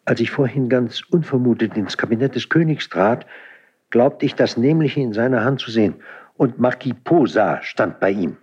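An actor playing a loyal, concerned count in a classical radio drama, husky, speaking in an earnest, measured, deferential way. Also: male voice, mid-range voice, expressive, a little mellow, muffled recording. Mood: earnest warning. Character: loyal, concerned count, cautiously warning his prince. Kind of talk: classical radio stage acting.

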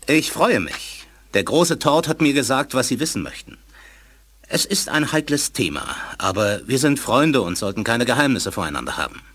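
A man playing a guarded, courteous alien scientist in a German audio drama, speaking in a measured, formal, earnest way with a husky voice. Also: medium pitch, sing-song, fairly bright tone.

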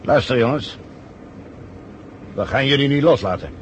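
An actor playing a sly, smug conspirator who calmly gives orders in a vintage radio play. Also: male voice, mid-range voice, moderate pace, a little hiss or hum, close to an everyday voice. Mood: commanding, smooth. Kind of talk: 1970 radio play acting.

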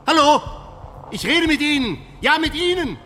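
A man's voice: lively intonation, normal speed, pushed and bright, heavily acted. An actor playing an agitated, well-dressed German man in his sixties in a radio drama. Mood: outraged. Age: 60s. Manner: hesitant and stammering, then shrill and accusing. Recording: long reverb, music behind.

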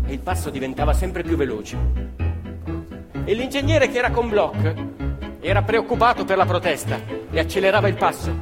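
Male stage narrator, high voice, dramatic build, music underneath. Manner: dramatic storytelling, building tension. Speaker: theatre actor and narrator. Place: theatre stage. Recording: live stage show with long reverb and music.

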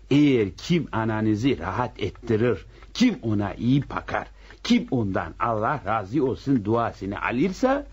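Husky, animated devout old village uncle. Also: male voice, mid-range voice. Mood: solemn, admonishing. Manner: folksy, admonishing, peppered with pious phrases. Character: devout old village uncle, earnest, cunning family elder. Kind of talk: radio drama acting. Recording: dull lo-fi radio drama recording.

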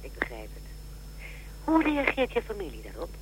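An actress playing a brisk, matter-of-fact and drily blunt helpline operator in a radio drama. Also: female voice, on the low side, huge pitch swings, slight background noise, rough recording. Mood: professional concern.

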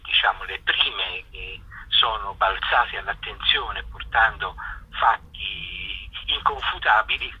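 A man speaking as an association spokesman in an informal interview, explaining a project and pausing to search for words. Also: on the low side, sharp tone, husky, no room sound, dull audio. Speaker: spokesman of a jazz festival association being interviewed.

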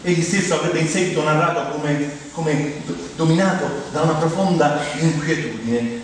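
A man delivering scripted, dramatic narration in a halting way, repeating phrases and drawing out his pauses. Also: a little high. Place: large reverberant room, recorded from afar. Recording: very echoey hall, distant mic, faint noise.

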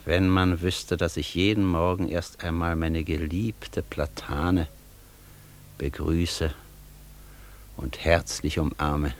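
An actor playing a proud, worldly scholar in a theatrical radio play, speaking with assured, ironic declamation. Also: male voice, low-pitched, level tone, slow pace. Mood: proud, reflective.

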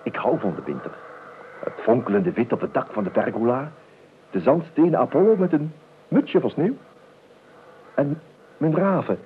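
An actor playing an idle, whimsical gentleman heir in a radio play, talkative and hesitant, repeating his words. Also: mid-range voice, lively intonation, normal speed, pauses often, lightly acted. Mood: dreamy. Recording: muffled phone-like old radio recording, hiss.

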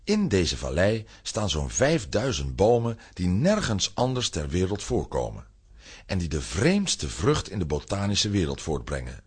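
A deep-voiced man tells a children's adventure as narrator. His voice is strongly husky and swings dramatically in pitch, giving a theatrical, suspenseful read. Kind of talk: dramatic scripted storytelling for children's audio drama.

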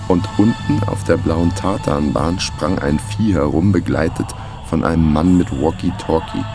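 Low, gravelly, laconic private investigator. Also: male voice, unhurried, music in the background. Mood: dry, matter-of-fact. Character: sly, weary small-time private investigator narrating his case. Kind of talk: German radio crime drama, first-person narration and dialogue.

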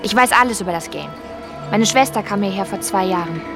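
Actress as young woman in radio play, guarded, accusing, regular pitch, music under. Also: fairly quick, natural voice. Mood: quietly accusing. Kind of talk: radio drama acting.

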